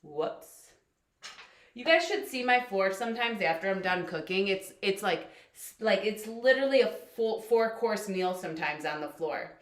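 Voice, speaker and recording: A woman hosting a casual live cooking stream from her home kitchen, chatty and upbeat, with a loud teacher voice, picked up by a camera across the room. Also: medium pitch, animated, moderate pace. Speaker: cooking livestream host and coach. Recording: echoey kitchen with faint noise.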